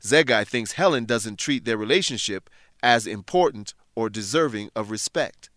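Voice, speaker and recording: A man narrating a scripted English lesson in a deliberate, instructive way. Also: on the low side, animated, fairly bright tone, dry recording, clean studio sound.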